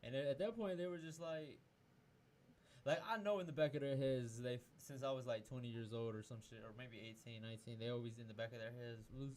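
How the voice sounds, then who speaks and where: A man's voice: mid-range voice, fairly even intonation, fairly quick, a little mellow. Podcast host, man in his 20s from Peru, profane and loose, lots of restarts, faint hiss. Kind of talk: casual chat.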